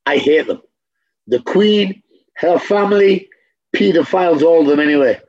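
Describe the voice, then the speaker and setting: A man's voice: fairly high pitch, expressive, normal speed, a little mellow, slightly rough, highs cut off. A man, a guest in a candid interview, talking bluntly and profanely and hammering points home by repeating them. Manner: blunt, profane, emphatic repetition. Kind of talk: candid conversation.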